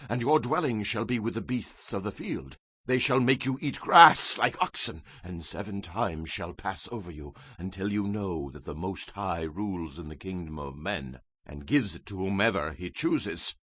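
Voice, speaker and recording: A man's voice: lowish voice, fairly bright tone, breathy edge, dry recording, voice pushed down, heavily acted. Man as a stern, declamatory voice from heaven. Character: stern commanding heavenly voice pronouncing judgment. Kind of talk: dramatized scripture reading.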